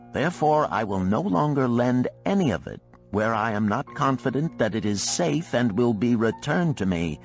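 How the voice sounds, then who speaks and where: A man voicing a wise, cautious older gold lender of ancient Babylon in a storybook, solemn and deliberate in archaic speech. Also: medium pitch. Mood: solemn, instructive. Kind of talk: storybook character reading.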